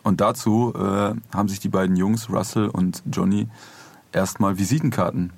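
A man co-hosts a podcast in casual chat. His voice is fairly low with noticeable fry, recorded dry and close. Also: steady tone, moderate pace, no echo. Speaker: podcast co-host.

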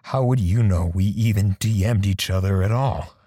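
A man playing a bitter, defensive portrait artist in an audio drama, speaking haltingly with sighs and pauses. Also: lowish voice, warm tone, no echo, studio quality, natural voice. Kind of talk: audio drama dialogue and first-person narration.

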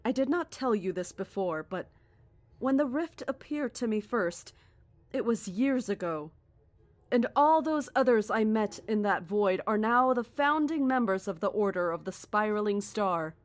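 A woman playing a serene but menacing female cult leader in a horror audio drama, speaking in a deliberate, formal, condescending and grandiose way. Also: medium pitch, lively intonation, no echo, close to an everyday voice. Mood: reverent. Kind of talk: horror audio drama acting, live radio address.

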